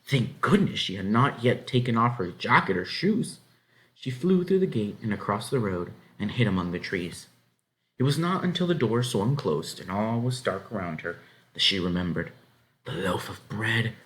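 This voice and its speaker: Animated low-voiced man reading a story aloud. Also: moderate pace, rough recording. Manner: dramatic, animated. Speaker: story reader. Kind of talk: expressive read-aloud narration of a children's novel.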